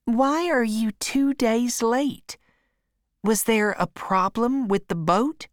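A woman doing a high, gushing farm aunt. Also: slow, dry recording, clean studio sound. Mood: eager. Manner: gushing, chattering. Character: fussy, emotional middle-aged aunt on a farm.

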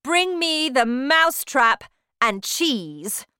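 Woman acting a slow, imperious, cruel witch leader. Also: medium pitch, very animated, fairly bright tone, dry recording, studio quality, heavily acted. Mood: commanding. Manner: commanding, drawn-out, menacing delivery. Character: imperious, cruel Grand High Witch barking orders at underlings.

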